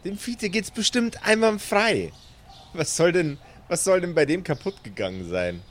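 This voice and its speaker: A man's voice: high-pitched, animated, moderate pace, a little hiss or hum. A man playing a carefree, boozy middle-aged mother with a breezy, dismissive manner in an improvised audio drama.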